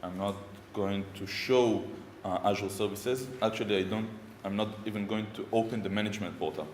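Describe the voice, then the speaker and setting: Israeli man, thirties, lowish voice, chatty tech speaker, far mic in a reverberant conference room. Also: a little fast, darkish tone, slight background noise. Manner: informal, chatty, frequent ums and uhs. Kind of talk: technical conference talk. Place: conference room with projector screen. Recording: echoey hall from far off.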